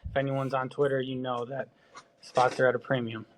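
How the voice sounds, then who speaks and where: A man with a mild Wisconsin accent, talking casually as a baseball scout on a podcast. His delivery is loose and meandering, full of ums and false starts as he runs through players. He sits at an ordinary middle pitch. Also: flat delivery, brisk, rough recording. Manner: loose, filler-heavy talk with frequent restarts.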